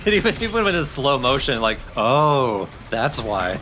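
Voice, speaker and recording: A man's voice: medium pitch, sing-song, moderate pace, sharp tone, a little hiss or hum. A man commentating on a video game with casual, joking banter. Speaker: let's play commentator.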